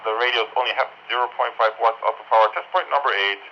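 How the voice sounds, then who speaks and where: A man's voice: mid-range voice, fast talker, muffled recording, a little hiss or hum. A man reviewing products, heard through a walkie-talkie, giving range test commentary and repeating his phrases for the test. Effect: heard through walkie-talkie radio. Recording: band-limited walkie-talkie radio sound, music behind.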